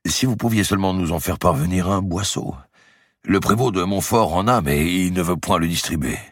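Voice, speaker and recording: A man's voice: low-pitched, moderate pace, fairly bright tone, breathy edge, no echo, studio quality, voice pushed up. A man doing a weary, starving head clerk. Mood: gloomy. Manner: gloomy, complaining. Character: hungry clerk heading a trading post, weary.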